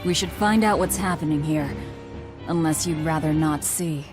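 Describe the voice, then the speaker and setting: An actress plays a hard-edged young female warrior in a dramatic game. Her voice is on the low side, blunt and commanding. Music is clearly heard behind her. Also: moderate pace, lightly acted. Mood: cool, commanding. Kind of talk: dramatic English game voice acting.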